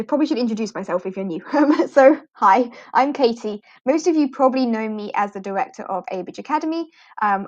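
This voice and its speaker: A British woman hosts an informal livestream for fellow English teachers, chatty and rambling, with fillers and little laughs. Her voice sits at a middle pitch. The sound is close, dry and a little dull, like home streaming audio. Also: dry recording. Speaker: online ESL teacher hosting a livestream. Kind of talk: informal live walkthrough.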